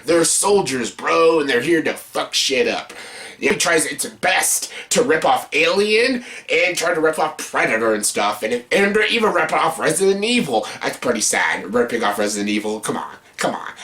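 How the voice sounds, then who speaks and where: Male video host, bright forceful voice, exasperated and foul-mouthed, casual review rant with emphatic repeats. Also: mid-range voice. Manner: blunt, profane, exasperated. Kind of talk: casual ranked-list movie review rant.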